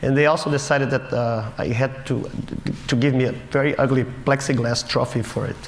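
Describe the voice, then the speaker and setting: A man's voice: mid-range voice, steady tone. Wry man in a roomy hall. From Brazil. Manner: wry, self-mocking, halting with restarts. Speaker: conference speaker. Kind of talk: humorous storytelling talk. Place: conference auditorium stage. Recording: roomy hall with faint noise.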